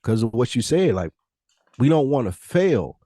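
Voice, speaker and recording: A man's voice: mid-range voice, sing-song, moderate pace, mellow, no room sound, clean studio sound. A man in casual podcast conversation, speaking bluntly with slang, swearing and emphatic repetition. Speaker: podcast co-host.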